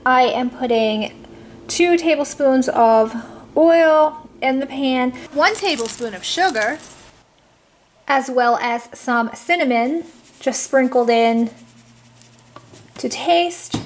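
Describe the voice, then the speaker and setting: A woman hosting a budget cooking video, calmly explaining each step from her home kitchen with a few casual fillers. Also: sits high, slow, a little hiss or hum. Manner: friendly step-by-step instructions with fillers. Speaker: cooking channel host.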